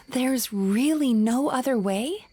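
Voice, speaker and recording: A woman's voice: on the high side, slow pace, darkish tone. A woman playing a thoughtful young female investigator in a video game, earnest and analytical. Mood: regretful. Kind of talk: game voice acting.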